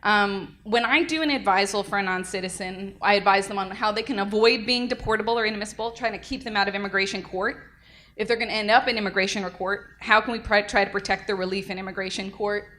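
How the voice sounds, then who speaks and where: An energetic young woman lectures at a legal workshop, speaking loudly and briskly into a microphone. Her delivery is lively and direct, with a mid-range voice in a conference room. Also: mid-range voice. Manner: energetic, lively, self-described loud. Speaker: defense attorney giving a workshop talk. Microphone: handheld or podium mic.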